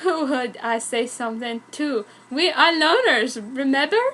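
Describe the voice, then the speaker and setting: A woman plays a brash, excitable teenage loner, the heroine's best friend in a fanfic story. The voice is high, blunt and exclamatory. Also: a little slow, full character voice. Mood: teasing.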